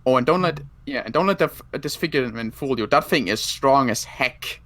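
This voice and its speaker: Bright, forceful, blunt zombie survivor played by a man. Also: medium pitch, lively intonation, a little fast, dry recording, close to an everyday voice. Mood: grim, blunt. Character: wounded male rifle-carrying zombie survivor, blunt and wry. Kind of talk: improvised Minecraft roleplay.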